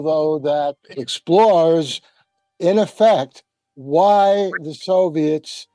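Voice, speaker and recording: A man's voice: on the high side, mellow, dry recording. An older man talking casually as a panel guest, wry and emphatic, wandering into asides as he explains his opinions. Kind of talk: casual discussion, storytelling.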